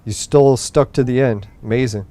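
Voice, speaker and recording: A man's voice: mid-range voice, moderate pace, mellow. A young man hosting a gaming podcast, rambling casually and swearing while he plays, his voice tiring late at night. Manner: rambling, profane, laid-back. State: voice getting tired late at night. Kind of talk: casual gameplay commentary.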